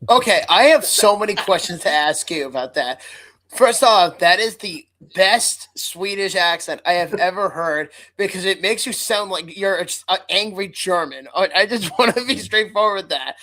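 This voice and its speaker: A man co-hosting a podcast, loud and teasing, swearing freely. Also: high voice, animated, normal speed. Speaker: podcast co-host.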